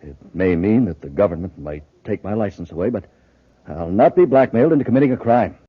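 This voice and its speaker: Nervous, low, husky businessman. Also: animated, a little fast. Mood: anxious, earnest. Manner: hesitant, stammering, earnest. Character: nervous, honest male plant owner under blackmail. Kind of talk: old-time radio drama acting. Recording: tinny, husky-sounding vintage radio recording.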